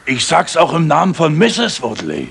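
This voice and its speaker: Raspy, gloating brute villain. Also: male voice, on the high side, wildly swooping pitch, normal speed, fairly bright tone, exaggerated voice. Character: brutish, gloating, lecherous villain. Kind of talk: 1980s German radio drama acting.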